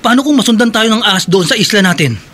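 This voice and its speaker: A man's voice: high-pitched, expressive, fast, pushed and bright, a little hiss or hum. An actor playing a guilt-ridden, anxious man in a radio drama.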